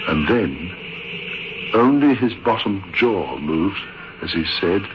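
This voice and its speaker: An actor playing a haunted theatre manager, speaking gravely in an old-time radio drama. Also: male voice, deep voice, expressive, slow, background noise. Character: haunted theatre manager recalling a horror he witnessed. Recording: muffled old radio recording.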